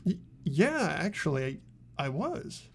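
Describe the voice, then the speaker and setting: A man's voice: on the high side, big pitch swings, slow, slightly rough, full character voice. A man voicing an earnest, hesitant, agreeable teenage boy in a video game. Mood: hesitant, curious. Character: polite, agreeable, unsure teenage boy protagonist.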